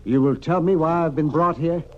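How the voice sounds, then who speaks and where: An actor playing a steady, protective frontier doctor in an old radio Western, talking in a deliberate, sometimes muttering way. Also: male voice, on the high side, muffled recording, close to an everyday voice. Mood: guarded, firm. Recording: noisy old-time radio recording.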